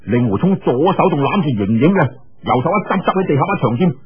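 A man's voice: a little high, very animated, fast, muffled recording, faint music behind. A man with a Cantonese accent telling a martial-arts story in a dramatic, colloquial audiobook style, full of exclamations.

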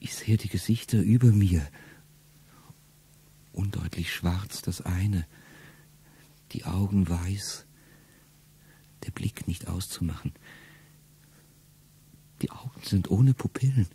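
A middle-aged man speaking a first-person radio play monologue in a low, intimate way that often sinks to a whisper. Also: slow pace, pauses often, dark tone, breathy edge.

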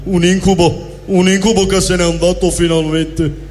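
A man's voice: high voice, flat delivery, moderate pace, a little mellow, lightly acted. A man playing a melodramatic, solemn mourner in a comic stage reading. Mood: haunted. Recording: hall reverb, music behind.

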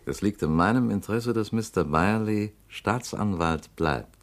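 A man playing a scheming politician in a radio drama, smooth and insinuating. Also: on the low side, unhurried, lightly acted. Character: slick scheming male politician, smooth and sly.

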